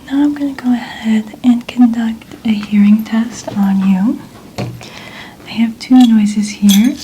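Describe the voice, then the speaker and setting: A woman doing a soft-spoken ASMR medical exam roleplay, gently whispering in a soothing, reassuring manner. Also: fairly high pitch, steady tone, takes it slowly, slight background noise, low-quality recording. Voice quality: soft, breathy, largely whispered. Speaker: ASMR performer role-playing a medical examiner.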